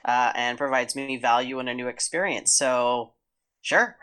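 A woman's voice: rumbling low, unhurried, fairly bright tone. A woman speaking casually and earnestly as a guest in an interview.

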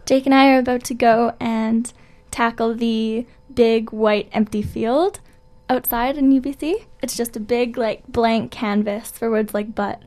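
A woman's voice: a little high, steady tone, a little slow, darkish tone. A woman co-hosting a radio show, chatting casually as the programme wraps up. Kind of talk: casual chat, signing off a show.

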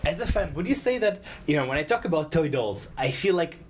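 A man's voice: fairly high pitch, lively intonation, a little fast. Man hosting an interview. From Russia. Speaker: podcast host, interviewer. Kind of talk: casual interview.

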